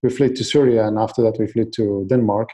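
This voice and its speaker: Guest: man from Iraq, late 20s, earnest and halting, mellow low voice. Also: steady tone. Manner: earnest, repeats and restarts words. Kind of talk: casual interview.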